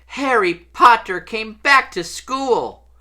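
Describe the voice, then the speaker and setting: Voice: medium pitch, expressive, slow, sharp tone, pitched above the performer's own voice. A reader voicing a small, anxious male house elf, miserable and devoted, pleading and speaking of himself in the third person.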